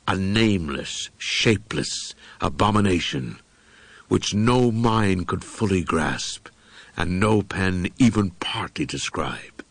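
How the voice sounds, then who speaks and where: A man narrating a first-person horror audiobook in a solemn, brooding, deliberate manner with dramatic pauses. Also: sits low, a bit bright, breathy edge, no echo.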